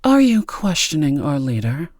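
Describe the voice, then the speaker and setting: A man's voice: on the high side, expressive, normal speed, darkish tone, no echo, studio quality, voice pushed up. A man voicing a stern, disdainful woman in authority for an audiobook, speaking in a clipped, impatient and condescending way. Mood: cold, commanding.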